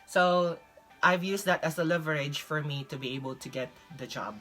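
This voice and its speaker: A woman's voice: low-pitched, moderate pace, a bit bright, soft background music, low-quality recording. A young woman from the Philippines with a Filipino accent, giving casual advice as a vlog host. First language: Visayan (Filipino). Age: young adult. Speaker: vlog host, English teacher.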